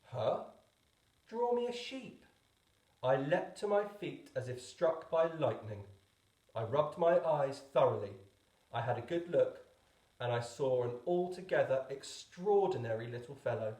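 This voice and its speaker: A man's voice: medium pitch, animated, normal speed, dark tone. Echoey man reading a storybook. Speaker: teacher reading a book aloud. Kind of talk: storybook narration.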